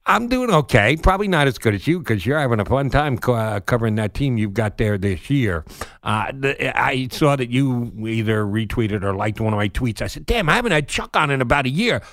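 A man's voice: mid-range voice, animated, brisk, a bit bright, no echo, clean studio sound. An older man hosting a sports radio interview, talking informally in a chummy, bantering way. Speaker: sports talk radio host.

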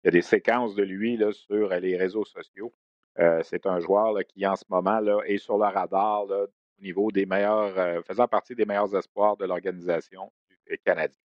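A man's voice: deep voice, steady tone, normal speed, no echo, muffled recording. A man hosting a hockey news segment and interviewing a player, talking in a loose, conversational way with many fillers. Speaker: sports radio host.

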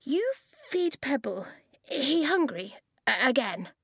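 A woman's voice: very animated, slow pace, a bit bright, slightly rough, no echo, clean studio sound, heavily acted. A woman voicing a small, scared little girl who speaks in broken, simple phrases. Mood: timid. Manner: high, childlike, dropping little words.

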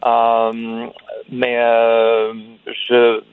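A man whose first language is Russian speaks French haltingly, filling pauses and restarting phrases. He is heard over a thin phone line with a dry sound. His voice sits in a middle register. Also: medium pitch, monotone, smooth, dry recording. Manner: hesitant, many fillers and restarts. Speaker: cellist guest. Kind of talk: radio interview by phone.

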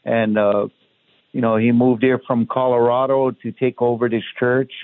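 A man's voice: medium pitch, normal speed, clear, resonant tone, no room sound. Hawaiian man on a tinny phone line. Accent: Hawaiian pidgin. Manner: relaxed, many fillers like "you know". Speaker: guest. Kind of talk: casual interview talk.

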